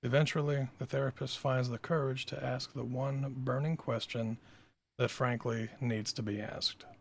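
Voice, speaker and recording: Gravelly, husky man reading a screenplay. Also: mid-range voice, level tone, moderate pace. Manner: self-deprecating, informal. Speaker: writer-director reading stage directions. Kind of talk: screenplay table read narration.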